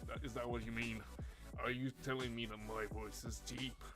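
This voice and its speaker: A man putting on an exaggerated, theatrical deep voice as a self-mocking joke during a live stream. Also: little pitch movement, moderate pace, music in the background, natural voice. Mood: mock-grand. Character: mock-dramatic booming deep-voiced man. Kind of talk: joking aside on a live stream.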